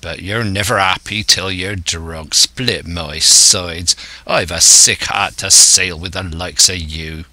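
Man voicing a slow, forceful, scheming pirate ringleader. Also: sits low, steady tone, dry recording, exaggerated voice. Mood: scornful. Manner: emphatic, scornful, salty seafaring dialect. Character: scheming, domineering older male pirate ringleader.

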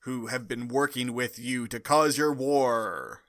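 Stern male superhero read by a man, pompous and declamatory, bright forceful tone, very dry sound. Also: mid-range voice, takes it slowly, dry recording, voice pushed down. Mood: stern, grandiose. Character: grandiose, stern male superhero wizard passing judgement. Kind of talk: comic book dramatic reading.

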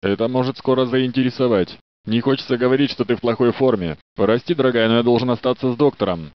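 A man's voice: mid-range voice, fast, lightly acted. An actor playing a polite, dutiful male security guard in a game dub, courteous and a little flirtatious. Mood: friendly. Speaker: security guard in a research facility. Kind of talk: Russian game dub voice lines. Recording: dry, dull studio dub.

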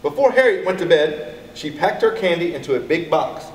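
A man's voice: a little high, sing-song, fairly quick. A middle-aged American man reading a picture book aloud to children, expressively and addressing them directly. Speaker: elementary school educator reading to pupils. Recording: echoey, distant mic, background noise.

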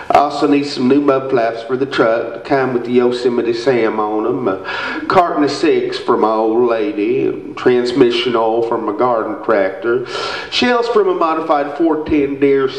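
A man's voice: medium pitch, natural voice. A man playing a folksy, matter-of-fact redneck reading out a letter in a live radio comedy. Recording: echoey, dull theater recording.

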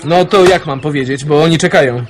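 A man's voice: on the high side, brisk, background noise, close to an everyday voice. An actor playing an eager, cheeky male resistance messenger in a radio drama, with an insistent, folksy goading manner. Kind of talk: Polish radio drama acting.

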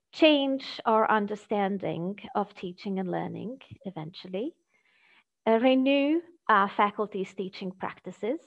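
A woman giving an academic presentation over a video call, speaking in a measured, hesitant way with halting phrasing. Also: mid-range voice. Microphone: video call microphone. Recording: dull, lo-fi video call audio.

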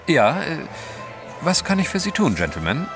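A debonair gentleman adventurer in a radio play. His speech is urbane and dryly witty, with a pitch that swings freely over a clear musical score. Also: wildly swooping pitch, normal speed, music in the background. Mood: wryly amused. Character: debonair, wry English gentleman adventurer, devoted husband. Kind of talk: German audio drama acting.

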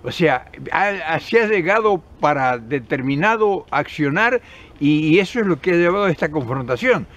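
A man's voice: fairly high pitch, animated, moderate pace, fairly bright tone, highs cut off. An older man with an Argentine accent talking straight to camera outdoors, earnest and emphatic, often restarting and repeating words. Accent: Argentine Spanish. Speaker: political commentator. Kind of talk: impassioned direct-to-camera commentary. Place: outdoors in countryside by forest path. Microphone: camera at close range.

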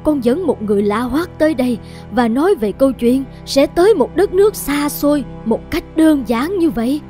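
A woman's voice: sits high, animated, normal speed, dark tone, soft background music. Anxious, protective poor mother. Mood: suspicious, upset. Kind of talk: animated moral fairy-tale dubbing.

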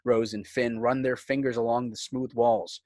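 A man's voice: medium pitch, flat delivery, normal speed, darkish tone, dry recording, studio quality. A man working as narrator and host of a fan script reading. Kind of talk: reading screenplay directions, host outro. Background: music.

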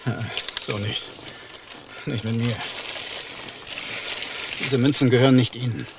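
An actor playing a greedy, suspicious blackmailer in a crime drama, talking in a curt, demanding, indignant way. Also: male voice, medium pitch, fairly even intonation, a little slow, close to an everyday voice. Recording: noisy archive crime drama audio.

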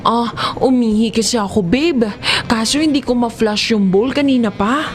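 A woman's voice: on the high side, normal speed, soft background music, voice pushed up, lightly acted. A woman with a Filipino accent voicing an embarrassed young girlfriend making hesitant, evasive excuses in a comedic audio story. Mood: sheepish.

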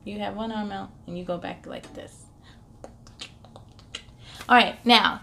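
A woman's voice: on the high side, a bit bright. A young woman hosting a children's read-aloud in a casual, encouraging way, often putting questions to the listener. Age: young adult. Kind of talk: casual, child-directed story time chat. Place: small furnished room at home, indoors.

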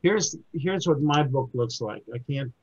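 An older man in his seventies gives an informal book talk to a community group. He speaks haltingly, restarting sentences often, at a middling pitch, on a dull, lo-fi recording. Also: breathy edge. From born in Germany, raised in the United States. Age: 70s. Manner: halting, with many restarts and fillers. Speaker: book reviewer giving a talk.

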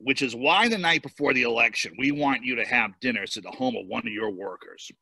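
A man playing a brisk, blunt political campaign advisor with a husky voice in an amateur cartoon table read. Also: medium pitch, pushed and bright, dry recording, full character voice, low-quality recording. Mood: matter-of-fact. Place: home room, on a video call.

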